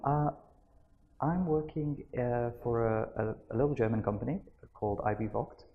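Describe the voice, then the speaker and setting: A man's voice: mid-range voice, normal speed, phone-quality sound. A young man, chatting as an interview guest in a rambling way, full of fillers and restarts. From Manchester, England. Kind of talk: casual conversational interview.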